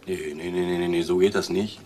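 An actor playing an edgy, street-smart burglar in a radio crime drama, talking in a blunt, wary, colloquial way. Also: male voice, deep voice, steady tone, normal speed, darkish tone, slight background noise, lightly acted. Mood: agitated, wary. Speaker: petty criminal.

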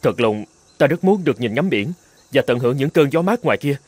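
A man's voice: medium pitch, expressive, fairly quick, a little mellow, breathy edge, dry recording. A man voicing an earnest captive island chieftain in a cartoon fairy tale, protesting and pleading. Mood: pleading, subdued. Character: brave adult male island chieftain held captive.

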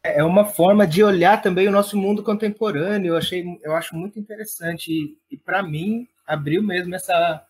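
A man's voice: high voice, fairly quick, few pauses, slight background noise. A man talking casually and enthusiastically as an interview guest, in an informal way. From Brazil. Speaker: guest, playwright and theatre director.

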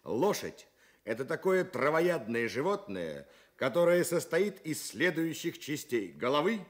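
A man presents a children's radio programme with a very mobile, animated voice. His delivery is scripted and deliberate, shaped like storytelling for schoolchildren. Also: fairly high pitch. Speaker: children's radio show host. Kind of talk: scripted Soviet radio show for schoolchildren, reading letters aloud.

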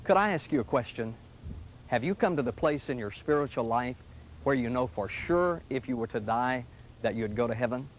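A middle-aged man, a pastor, speaking in an earnest, gentle, inviting tone in scripted television ads from the 1980s. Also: medium pitch, animated, moderate pace, slight background noise, poor audio. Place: studio sets and church rooms, indoors. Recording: 1980s local TV ad audio.

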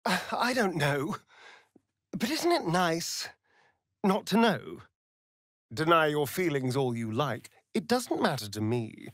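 An actor with a British accent playing a sardonic, preening vampire rogue in a fantasy video game, with an arch, drawling, theatrical delivery. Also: male voice, a little high, very animated, slow, pauses often, fairly bright tone, no room sound, clean studio sound, heavily acted. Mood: coy.

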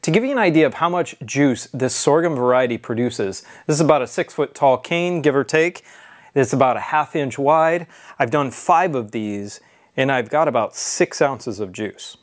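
Guy in his 30s walking thru a homestead how-to, calm and practical, lapel mic, mid-pitched voice. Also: moderate pace. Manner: steady, practical explaining straight to camera. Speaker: homesteading channel host. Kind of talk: instructional how-to vlog. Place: home workshop barn, then a kitchen, indoors. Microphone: clip-on lapel mic.

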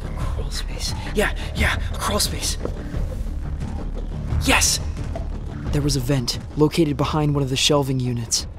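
A male actor plays an anxious young survivor in an apocalyptic audio drama. His voice sits a little high for a man, with clear music playing behind him. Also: natural voice. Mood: panicked, urgent. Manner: breathless muttering to himself, reflective when narrating. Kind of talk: audio drama acting, first-person narration.

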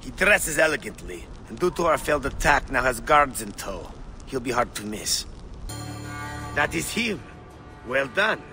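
A man's voice: mid-range voice, sing-song, unhurried, sharp tone, faint music behind, exaggerated voice. An actor playing a fervent, vengeful male rebel leader in a video game, speaking emphatically, as if plotting. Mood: resolute, plotting. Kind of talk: dramatic game cutscene dialogue.

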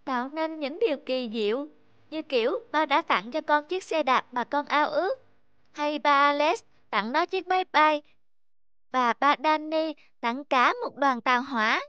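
An actor voicing an earnest, pleading little boy in an animated children's story, speaking in an insistent, childlike way. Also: sits high, a little slow, no room sound, lo-fi sound. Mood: wondering, affectionate.

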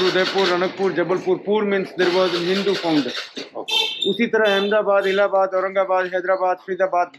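A man's voice: high voice, very noisy. A middle-aged man working as a heritage walk guide, explaining sights outdoors and mixing English phrases into his talk. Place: outdoors in old city lanes. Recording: loud background music.

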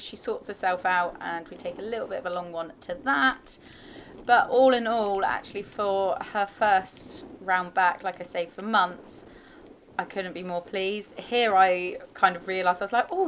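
A woman's voice: medium pitch, a little hiss or hum. A young woman with a British accent, an equestrian vlogger giving chatty, upbeat voice-over commentary on her own showjumping round. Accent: British English. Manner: chatty, upbeat, self-reflective.

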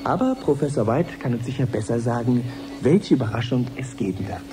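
A man's voice: mid-range voice, lively intonation, a little fast, close to an everyday voice. Actor as awestruck male reporter over music. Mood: eager, formal. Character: eager, awestruck male live science reporter. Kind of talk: 1980s German radio drama, live commentary.

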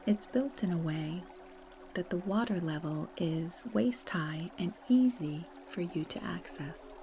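Woman doing guided meditation, really slow soft breathy soothing voice, music playing behind her. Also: fairly low pitch, lively intonation.

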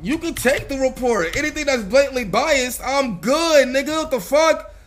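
A man's voice: very high-pitched, expressive, moderate pace, slight background noise. A man livestreaming a roleplay game with heated, slangy commentary, swearing and repeating himself in disbelief.

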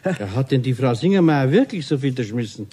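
Jolly Bavarian pal, teasing, very animated pitch, husky, mellow, radio play. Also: male voice, fairly quick. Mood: amused. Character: jovial teasing Bavarian man, a craftsman's joking pal. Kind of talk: 1970s German radio play.

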